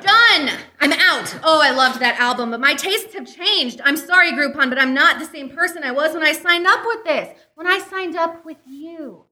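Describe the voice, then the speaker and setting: Woman acting fed-up girlfriend, high voice, piercingly bright, melodramatic, emphatic, old-time radio sketch. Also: normal speed, hardly any pauses. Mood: firm, apologetic. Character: exasperated girlfriend dumping a clingy email service.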